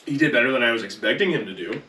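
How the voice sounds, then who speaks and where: A man's voice: medium pitch, expressive, very fast, bright, forceful tone, slight background noise. A man co-hosting a podcast in a rambling, casual, sweary chat style. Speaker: podcast co-host. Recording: roomy echo, distant mic.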